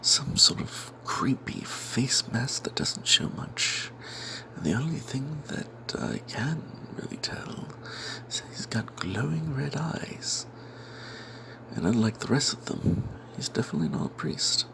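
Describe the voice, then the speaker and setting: A man narrating a fantasy role-playing tale in first person as ASMR, in a breathy, secretive whisper, rambling wryly as if not to be overheard. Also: medium pitch, big pitch swings, measured pace, low-quality recording. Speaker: storyteller narrating a tabletop game tale.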